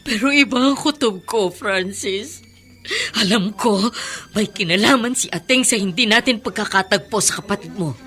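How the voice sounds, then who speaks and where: A woman's voice: mid-range voice, normal speed, a bit bright, lightly acted. An actress playing a middle-aged, desperate mother searching for her missing child in a Tagalog radio drama, with pleading, emotional delivery. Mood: suspicious, angry.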